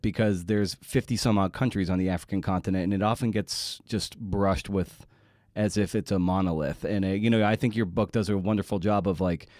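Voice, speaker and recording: A man hosting a podcast interview, speaking thoughtfully in halting phrases with frequent restarts. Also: on the low side, a little mellow, no echo, clean studio sound. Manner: thoughtful, halting, many "uh" and "you know" restarts. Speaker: podcast host. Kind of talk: interview conversation.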